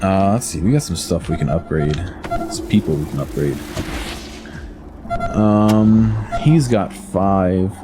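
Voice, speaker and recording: Low-voiced male game streamer with a lisp. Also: slow pace, pauses often. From United States. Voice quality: slight lisp. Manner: rambling, answers chat, hesitant fillers. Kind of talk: casual game-stream commentary. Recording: game music playing behind.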